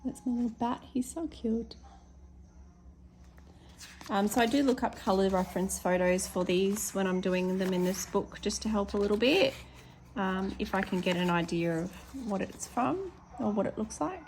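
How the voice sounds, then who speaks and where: Australian woman, slightly hoarse, casual chat, ums, faint room hiss. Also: mid-range voice, moderate pace, darkish tone. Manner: chatty, many ums, corrects herself. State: slightly hoarse, losing her voice. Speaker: teacher showing her colouring pages. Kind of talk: casual flip-through chat.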